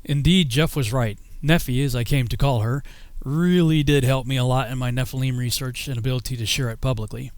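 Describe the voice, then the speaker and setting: A man's voice: mid-range voice, fairly quick, few pauses, clean tone, dry recording. American man narrating, close dry sound. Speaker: filmmaker and author narrating his own journey. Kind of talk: scripted first-person documentary narration.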